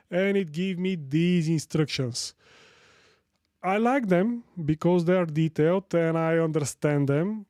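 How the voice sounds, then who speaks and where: A man from Sofia with a Bulgarian accent hosting a casual live tech tutorial, chatting with viewers in a halting way and repeating phrases for emphasis. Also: on the high side, a little mellow, clean tone, dry recording, clean studio sound. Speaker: live stream host.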